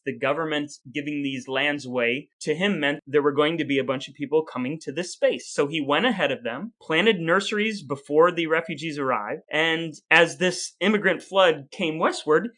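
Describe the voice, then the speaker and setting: Bright-voiced man co-hosting a podcast. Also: on the high side. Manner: explains at length, asks his brother questions. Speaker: podcast co-host. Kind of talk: casual chat.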